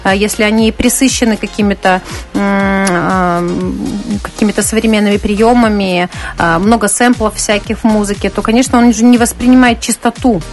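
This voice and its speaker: A woman's voice: medium pitch, fairly even intonation, normal speed. A woman guest talks off the cuff in a mid-range voice. She hesitates and searches for words as she offers her views, with faint music in the background. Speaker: interview guest, classical musician. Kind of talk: spontaneous conversational opinion.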